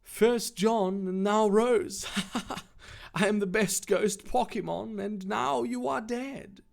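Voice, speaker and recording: A man's voice: sits high, fairly even intonation, slow, creaky, a little mellow, voice pushed up, full character voice. A man voicing a sultry, taunting female ghost monster who gloats in a story reading. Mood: gloating.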